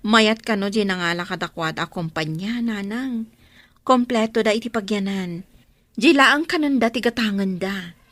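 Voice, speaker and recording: A woman's voice: mid-range voice, normal speed, a bit bright, no room sound, natural voice. A woman playing an anxious young wife and mother in an Ilocano Filipino radio drama, with music behind her. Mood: hopeful, reassuring.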